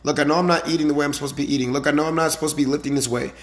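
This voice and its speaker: A male fitness coach speaks casually to his live-stream followers in a somewhat high, raspy voice that sounds a little hoarse. He is emphatic and motivational, repeating his points and checking in with short rhetorical questions. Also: fairly even intonation, racing. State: slightly hoarse from the previous day.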